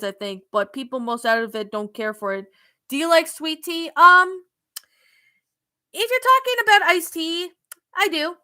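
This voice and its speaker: High bright Canadian woman streamer. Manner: hesitant, many ums and restarts. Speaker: streamer reading chat. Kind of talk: casual live stream chat.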